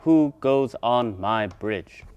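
A man voicing a mean, lumbering bridge troll who speaks in a slow, threatening way for a children's story. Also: mid-range voice, expressive, a little mellow, clear, resonant tone, faint music behind. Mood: menacing.

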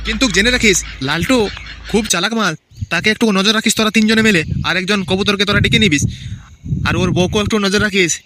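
A man's voice: high voice, quick pace, noisy background, lightly acted. A man voicing a bullying, crooked village chief and moneylender in a cartoon, crude and threatening. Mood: gloating. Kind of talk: Bengali cartoon voice acting.

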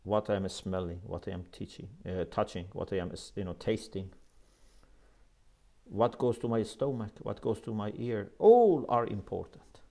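A man's voice: on the low side, moderate pace, creaky, mellow. A middle-aged man gives a religious lecture in an earnest, emphatic manner, speaking into a lapel mic in a small office. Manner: earnest, emphatic, gestures with his hands. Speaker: Islamic scholar lecturing.

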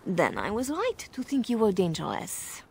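A woman's voice: medium pitch, wildly swooping pitch, normal speed, gravelly, darkish tone, husky, full character voice. An actress playing a scheming, seductive female spymaster villain in a game, mocking and silky. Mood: cold, accusing. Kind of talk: dramatic game dialogue. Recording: faint background noise.

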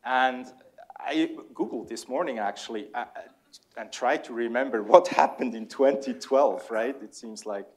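A man in his forties with a Swiss German accent, a native German speaker, moderating a public panel and introducing speakers courteously, with frequent hesitant pauses. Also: medium pitch. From Switzerland. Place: large lecture hall before a seated audience, on stage.

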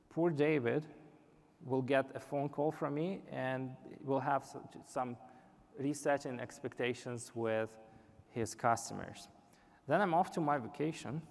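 A man's voice: fairly high pitch, gravelly, a little mellow. A young man giving a live product demo on a conference stage, explaining earnestly with some restarts. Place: large indoor conference stage. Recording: reverberant conference hall.

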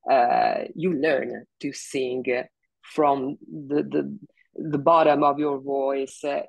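A middle-aged woman singer with a big, low speaking voice talks slowly on a video call. She halts often with fillers and breaks into laughs. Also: flat delivery, vocal fry, a little mellow, dry recording, poor audio. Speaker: singer, interview guest. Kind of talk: casual video call interview. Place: home room, seated in office chair.

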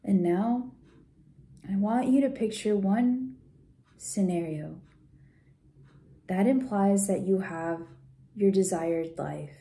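A woman leading a guided visualization in a soft, gentle, soothing way, unhurried with pauses and at times breathy. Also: mid-range voice. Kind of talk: guided meditation, soft slow instruction.

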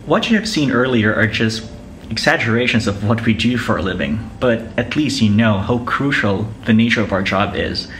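A man's voice: on the low side, moderate pace, fairly bright tone, slight background noise, rough recording. A young man with a Filipino accent explaining things to camera as a vlogger in a living room. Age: young adult. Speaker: vlogger, air traffic controller. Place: small living room, indoors. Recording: music playing behind.

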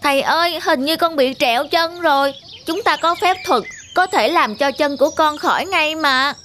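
A woman's voice: high-pitched, normal speed, bright, forceful tone, slight background noise. A woman voicing a small, young, impatient monkey apprentice wizard in a cartoon, whining and pleading. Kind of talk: cartoon fable voice acting.

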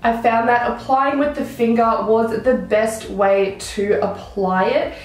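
A young woman with a croaky voice, chatting enthusiastically through a makeup tutorial to a close camera in a small room. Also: fairly high pitch, steady tone, slow pace, faint music behind. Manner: chatty, enthusiastic product talk. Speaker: beauty vlogger. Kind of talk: casual makeup tutorial chat. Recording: very echoey room.

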